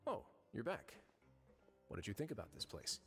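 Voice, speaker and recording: An actor playing a composed, authoritative, shrewd duke in a video game. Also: male voice, on the low side, huge pitch swings, moderate pace, vocal fry, a bit bright, in a large hall, soft background music, full character voice. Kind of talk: video game character acting.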